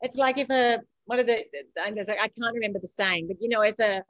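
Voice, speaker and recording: A woman's voice: on the high side, fast talker, lo-fi sound. A woman with an Australian accent giving emphatic, encouraging advice as a guest in a motivational interview, often repeating phrases for effect. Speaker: podcast guest, author and coach.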